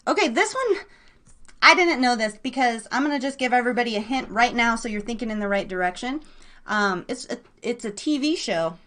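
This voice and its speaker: A woman with a fairly high voice hosts a live radio guessing game. Her delivery is casual and excitable, with slangy, informal encouragement for the players. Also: a little fast. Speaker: live show host running a guessing game.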